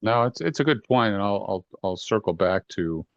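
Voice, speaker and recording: This is a deep-voiced man with a Boston accent, recounting his career in an earnest, halting way full of "uh"s and restarts. The recording sounds dry and a little muffled, with no room around him. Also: animated, no echo. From New York and Boston. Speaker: retired FBI assistant director, guest. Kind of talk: reflective interview storytelling.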